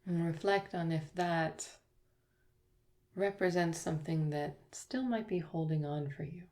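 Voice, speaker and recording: A woman yoga teacher guiding a yoga nidra relaxation, speaking slowly and softly with long pauses. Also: on the low side. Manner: slow, soft, soothing guidance with long pauses.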